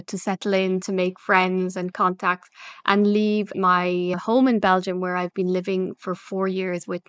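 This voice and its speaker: A woman's voice: fairly low pitch, fairly bright tone, clear, resonant tone, dry recording, studio quality. A woman from Ireland, an English teacher hosting her podcast in an upbeat, encouraging, conversational way. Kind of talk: casual solo podcast chat.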